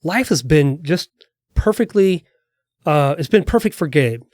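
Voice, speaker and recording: Higher-voiced male podcast host, affirming. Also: animated, unhurried, darkish tone, dry recording, studio quality. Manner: affirming, encouraging. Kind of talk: conversational interview.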